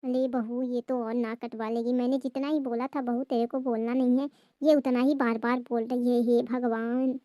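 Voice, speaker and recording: A woman's voice: a little high, level tone, moderate pace, dark tone, dry recording, natural voice. A woman playing an anxious, scolding Indian mother-in-law in a family comedy skit. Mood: exasperated. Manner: fretful, scolding, gossipy.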